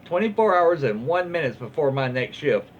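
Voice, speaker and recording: A man's voice: fairly high pitch, sing-song, normal speed. A man with a Southern US accent reading stories aloud in a casual, folksy, informal way as a host. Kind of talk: casual read-aloud storytelling. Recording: muffled, lo-fi with faint background noise.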